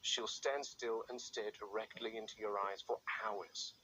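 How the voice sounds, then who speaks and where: A man playing a grim, watchful game warden, narrating a found-footage field log in a measured, grave and deliberate way. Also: on the low side, steady tone, bright, forceful tone, highs cut off. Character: grim, watchful male game warden logging dangerous captive raptors. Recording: faint background noise.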